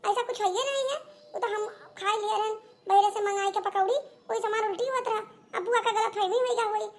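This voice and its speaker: A woman's voice: very high-pitched, creaky, slight background noise. A woman voicing a scheming, plaintive young daughter-in-law with an Awadhi accent in a comedy cartoon. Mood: sly.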